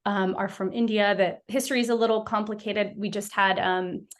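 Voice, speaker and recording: A woman's voice: mid-range voice, fairly even intonation, normal speed. A young woman, an occupational therapy practitioner, talking as a guest in a casual interview, reflective and full of fillers and restarts. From United States.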